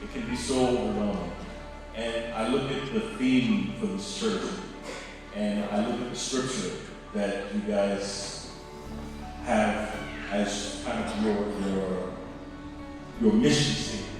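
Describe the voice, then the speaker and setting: A man's voice: lowish voice, takes it slowly, long pauses, far from the mic, music in the background. Grateful man in an echoey church hall. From Florida, USA. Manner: grateful, searching for words with restarts. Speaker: visiting group member. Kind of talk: church greeting speech.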